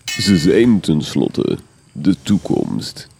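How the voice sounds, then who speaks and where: A courteous but faintly sinister village doctor, lowish in pitch with wide theatrical swings. He speaks gravely and very slowly, as in gothic radio comedy. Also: sing-song, dark tone, slight background noise, full character voice. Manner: drawn-out, measured, theatrical.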